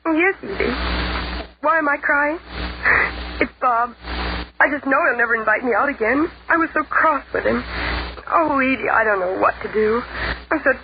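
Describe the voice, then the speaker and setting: A woman's voice: sits high, normal speed, long pauses. A woman playing a confiding, gushing young woman on the telephone in a 1940s radio advertisement. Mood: tearful, dejected. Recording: muffled, noisy old radio, telephone-like sound.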